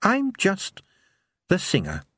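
A man's voice: sits high, huge pitch swings, takes it slowly, a bit bright, breathy edge, dry recording, voice pushed up, full character voice. A man voicing a shy, earnest young minstrel in an audiobook, with a faraway, hesitant manner. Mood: shy, dreamy. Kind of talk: audiobook character voice.

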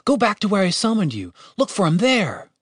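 An earnest young elf adventurer from a fantasy audiobook, voiced by a man. He speaks in a lifted, light pitch with an anxious, hurried manner. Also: huge pitch swings, slightly rough, dry recording, clean studio sound, exaggerated voice.

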